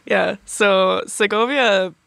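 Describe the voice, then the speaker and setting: A young woman talks in a loose, chatty way, leaning on fillers and quick laughs. Her voice carries a gravelly fry at the ends of phrases. The sound is dry and close, with no room around it. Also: mid-range voice, fairly bright tone, no echo. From United States. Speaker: university student guest. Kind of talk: casual interview chat.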